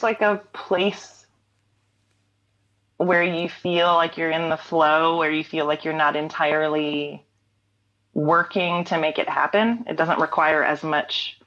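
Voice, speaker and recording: A woman in her late thirties talks through her creative habits in a deep, low voice. She muses aloud with many "um"s and "like"s and laughs easily. The sound is a bit dull and lo-fi, like a remote call. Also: unhurried, fairly bright tone. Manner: thoughtful, frequent "um" and "like", laughs easily. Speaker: guest, singer-songwriter. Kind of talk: reflective conversational interview.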